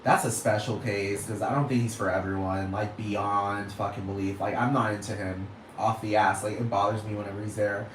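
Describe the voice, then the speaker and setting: A man's voice: on the low side, little pitch movement, normal speed, few pauses, slight background noise. A young man with a Puerto Rican accent, hosting a solo podcast in a rambling, profane rant that keeps asking if you understand. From Puerto Rico, raised in Texas. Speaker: podcast host. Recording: roomy room with faint ambience.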